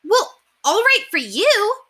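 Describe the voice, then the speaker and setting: A woman's voice: very high-pitched, big pitch swings, takes it slowly, bright, forceful tone, voice pushed up, heavily acted. A woman voicing an indignant young girl with hurt feelings in a children's storybook, petulant and exclaiming. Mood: hurt, huffy.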